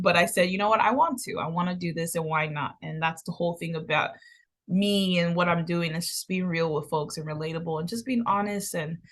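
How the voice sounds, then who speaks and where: Woman, 30, chatty and playful, laughs a lot, middle pitch. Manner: animated, laughs often, playful and emotional. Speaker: podcast host. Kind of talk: impromptu casual vlog update.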